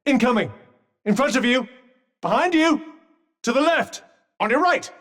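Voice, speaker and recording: Talking magic cuff, British accent, high voice, sardonic, eloquent, urgent battle coaching, video game companion, slightly distant sound. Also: male voice, lively intonation, normal speed. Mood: excited, alarmed.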